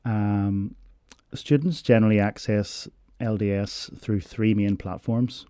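A man explaining university learning support in a measured interview, pausing often on ums. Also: fairly low pitch, steady tone, mellow, smooth, no room sound, studio quality. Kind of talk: informational interview answers.

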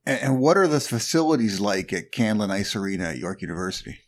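A man's voice: fairly low pitch, quick pace. A man co-hosting a sports podcast, chatting in an easygoing, conversational way. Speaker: podcast co-host. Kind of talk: casual sports podcast chat.

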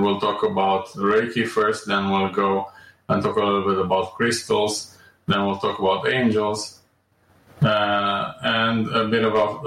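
A man from Romania, a podcast guest speaking slowly with frequent ums and uhs. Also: on the low side, a bit bright. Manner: measured and slow, many ums and uhs. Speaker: guest, alternative medicine practitioner. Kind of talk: podcast interview. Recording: echoey room, distant mic.

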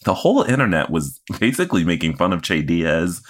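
A man with a low-pitched voice talks as a podcast co-host. He recaps television casually and conversationally, offering opinions with a wry touch. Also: big pitch swings, fairly quick.

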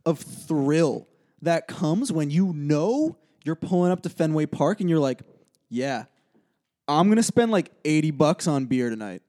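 A man's voice: a little high, lively intonation, a little slow, dark tone. A man from Massachusetts co-hosting a casual sports podcast, listing things he missed with enthusiasm and frequent laughter. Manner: enthusiastic, list-driven, laughs often. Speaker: podcast co-host.

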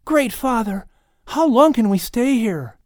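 A man voicing an eager, excitable young boy in a dramatized audiobook story. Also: very high voice, unhurried, no room sound, clean studio sound, voice pushed up. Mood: awed curiosity. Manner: pleading, breathless enthusiasm.

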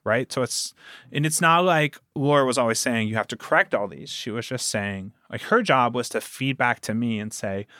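A man's voice: medium pitch, moderate pace, pushed and bright, dry recording, studio quality. A man speaking as a producer and guest in a conversational podcast interview, earnest and emphatic as he explains and recounts. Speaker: audio drama producer and guest.

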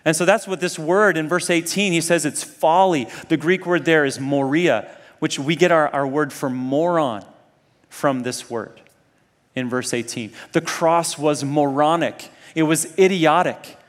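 Higher-voiced man preaching in a reverberant hall. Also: unhurried. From Zambia and Kenya. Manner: conversational, earnest, rhetorical questions. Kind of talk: sermon to a student audience.

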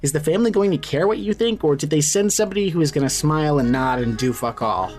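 A man playing a scrappy, foul-mouthed, evasive character on the run in audio fiction, talking casually and haltingly. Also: a little high, a little mellow, natural voice. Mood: tense, defiant. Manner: casual, sweary, halting when pressed. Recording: music under the voice. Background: music.

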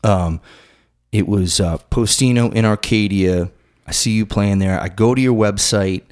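A middle-aged man with a lowish voice hosts a relaxed conversation. He rambles through his stories, restarting sentences and swearing casually. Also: measured pace, smooth. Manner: loose, profane, rambling storyteller. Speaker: podcast host. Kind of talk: casual interview chat.

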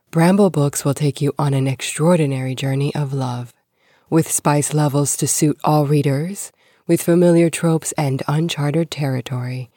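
A woman with a low, mellow voice presents scripted podcast news. She speaks in a measured, clearly phrased way, and the sound is close and dry, with very little room. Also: dry recording. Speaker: podcast host.

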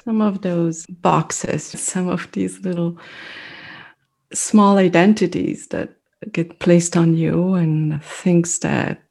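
A middle-aged woman whose first language is French, speaking as an interview guest. She talks in a thoughtful, reflective way, pausing often as she searches for words. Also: sits low, gravelly, dark tone. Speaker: mindfulness meditation teacher, guest.

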